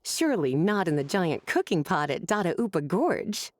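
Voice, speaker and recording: A woman's voice: lowish voice, big pitch swings, normal speed, no echo. A woman playing a teasing, persuasive scholar with a coaxing, playful manner in an anime game. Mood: reassuring, amused.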